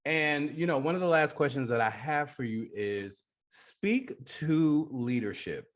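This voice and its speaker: A man's voice: fairly high pitch, animated, measured pace. A man with a New York accent hosting a casual radio interview.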